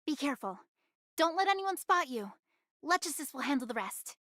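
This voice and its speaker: A woman's voice: sits high, big pitch swings, normal speed, fairly bright tone, no echo, studio quality. A woman voicing a bossy, sly young woman in an anime game, commanding and teasing in manner. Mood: brisk, urgent.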